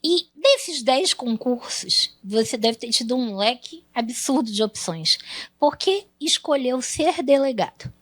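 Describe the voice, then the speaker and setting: High-pitched amazonas lady host, jokey, jumps in a lot. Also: lively intonation, dry recording. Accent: Amazonas Brazilian Portuguese. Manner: playful, teasing, quick interjections. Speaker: talk show host. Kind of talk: interview chat.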